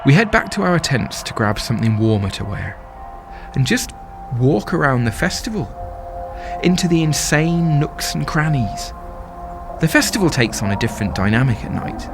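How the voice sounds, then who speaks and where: Casting: lovestruck young festival-goer, anxious and excitable, very animated pitch, rhythmic confessional storytelling for audio drama, music underneath. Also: male voice, moderate pace, vocal fry. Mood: excited, confiding. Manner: poetic, rhythmic, confessional delivery. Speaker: solo performer. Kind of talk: dramatic first-person storytelling monologue. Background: music and sound design behind the voice.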